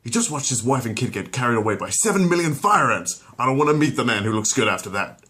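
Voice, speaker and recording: A man's voice: medium pitch, expressive, quick pace, pushed and bright, voice pushed up. A man voicing a gung-ho exterminator with urgent war-movie melodrama, in a comic bedtime story. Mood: urgent, grim.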